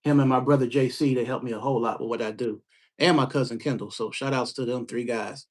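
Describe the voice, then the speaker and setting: A man hosting a podcast, casually interviewing a guest with slangy, easygoing talk and frequent shout-outs. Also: mid-range voice, fairly even intonation, fast. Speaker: podcast host.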